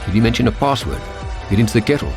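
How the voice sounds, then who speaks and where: An actor with an African accent playing a plain-spoken traveller in a video game, direct and matter-of-fact. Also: male voice, medium pitch, very animated, racing, vocal fry, music in the background. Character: plain-spoken male traveller, an outsider seeking passage. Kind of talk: video game character acting.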